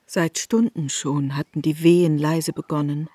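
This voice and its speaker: A woman narrating a literary radio drama in a measured, restrained manner. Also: low voice, fairly even intonation, mellow, breathy edge, no room sound. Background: faint instrumental music.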